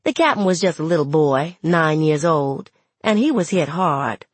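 An actress voices a wry, resentful young woman telling her own story in an audiobook. She speaks plainly in conversational dialect, with a husky voice in a dry, close recording. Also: low-pitched, expressive, normal speed, no echo. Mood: wry, aggrieved. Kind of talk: first-person audiobook narration in character.

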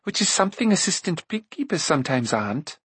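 A man voicing a spirited young princess with tart, teasing retorts, pitched higher than his own voice. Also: very animated, quick pace, a bit bright, husky, no echo, exaggerated voice.

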